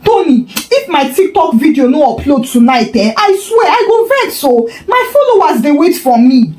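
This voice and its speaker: Lady doing a squeaky vain girlfriend, pidgin with fake american twang, roomy sound. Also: sing-song, normal speed, a little mellow. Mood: panicked. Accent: Nigerian Pidgin. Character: flashy young woman girlfriend, showy and vain.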